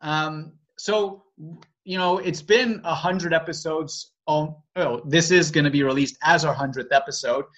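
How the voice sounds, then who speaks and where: A man's voice: a little high, measured pace, fairly bright tone. A man co-hosting a podcast in a casual, conversational style, on slightly lo-fi audio. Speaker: podcast co-host.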